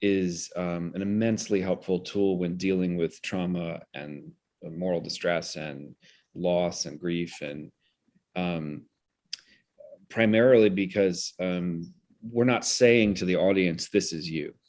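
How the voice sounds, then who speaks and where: A man explaining his ideas in an interview in an articulate, analytical way, with measured phrasing. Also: low-pitched, fairly even intonation, darkish tone. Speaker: guest, theater director.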